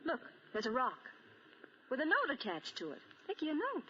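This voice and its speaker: An eager, playful wife in a 1940s radio mystery. Her voice is high and bright, swooping through coaxing questions. It comes from a muffled vintage broadcast. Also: wildly swooping pitch, moderate pace, exaggerated voice. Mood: alarmed. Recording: muffled, faintly noisy 1940 radio broadcast.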